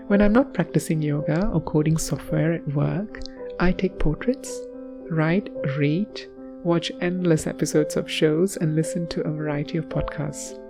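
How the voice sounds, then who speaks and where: A woman calmly reading a reflective scripted self-introduction as a podcast co-host. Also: low-pitched.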